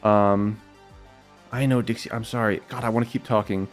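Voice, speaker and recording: Young man with a slight rasp. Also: fairly low pitch, fairly even intonation, moderate pace, faint music behind. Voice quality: slightly raspy. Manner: rambling, loose, many fillers and repeats. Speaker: streamer answering viewer questions. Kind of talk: casual livestream Q&A chat.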